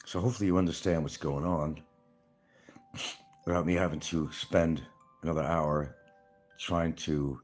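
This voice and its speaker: A man's voice: a bass voice, steady tone, normal speed, long pauses, darkish tone, faint music behind. A man hosting a rambling livestream outdoors by a field, answering comments in a blunt, combative way. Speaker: livestream host.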